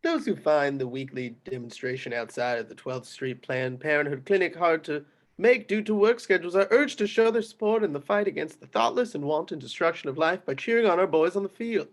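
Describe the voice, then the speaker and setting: A man's voice: on the high side, brisk, natural voice. A man playing a parish priest in a script table read, formally rattling off announcements to the congregation. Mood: formal, droning.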